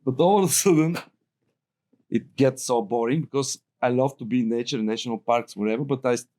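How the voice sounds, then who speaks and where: Bulgarian-accented man, middle-aged, lively rambling guest storyteller. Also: medium pitch, fairly quick. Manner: animated, rambling storyteller who laughs often. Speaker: guest filmmaker. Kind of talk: casual roundtable chat.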